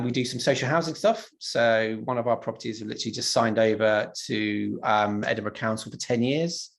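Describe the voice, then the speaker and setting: A man from London giving an online property presentation in a relaxed, conversational way, with lots of ums and restarts. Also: mid-range voice, gravelly. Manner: casual, many ums and restarts.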